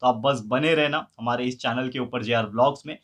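A man's voice: medium pitch, fairly quick, fairly bright tone. A man in his thirties, a vlog host, talking casually to a phone camera held at arm's length and mixing English words into his speech. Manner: chatty, easygoing, mixes English words into Hindi. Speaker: vlogger host. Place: indoor room and gym.

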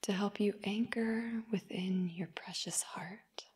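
Very slow, breathy, whispery woman guiding meditation. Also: medium pitch, fairly even intonation. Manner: gentle, soothing, long pauses between short phrases. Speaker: meditation guide. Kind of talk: guided meditation narration.

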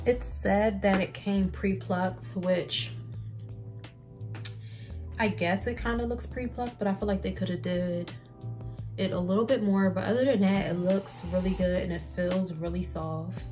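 A woman's voice: medium pitch, steady tone, unhurried, a little mellow, faint music behind. A young adult woman with an American accent, a vlogger chatting casually to the camera. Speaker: beauty and lifestyle vlogger.

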